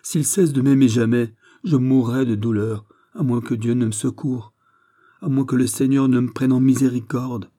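A man's voice: mid-range voice, steady tone, normal speed, mellow, pitched above the performer's own voice, close to an everyday voice. A man voicing an anguished, guilt-ridden young woman in love, imploring and impassioned in a dramatic storybook reading. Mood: fervent pleading. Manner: impassioned, imploring, breathless exclamations.

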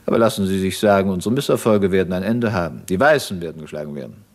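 An actor playing a zealous, self-assured revolutionary commander in a German radio play, didactic and needling. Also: male voice, deep voice, animated, fast. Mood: confident.

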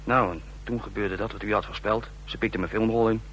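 An actor playing a breezy, cocky photographer and informant, chatting colloquially in a radio drama. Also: male voice, fairly low pitch, fairly even intonation, fairly quick, a bit bright, natural voice. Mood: breezy, smug. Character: breezy, slangy male photographer working as informant. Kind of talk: radio drama acting, phone report. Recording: faintly noisy old radio recording.

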